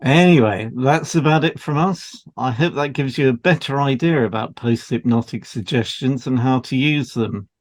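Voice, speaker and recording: A man with a medium-pitched voice co-hosts an educational podcast. He reads prepared material in an explanatory way, as one teaching a subject to trainees. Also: normal speed, hardly any pauses. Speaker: podcast co-host, hypnotherapist. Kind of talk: scripted educational podcast read aloud.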